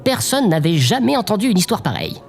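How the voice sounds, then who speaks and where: A woman playing an awkward, deferential, oddly intense assistant with halting delivery in a comedic audio drama. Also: medium pitch, big pitch swings, creaky, a little mellow, faint music behind. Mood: matter-of-fact. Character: awkward, morbid, servile presidential assistant Babylone, oddly intense.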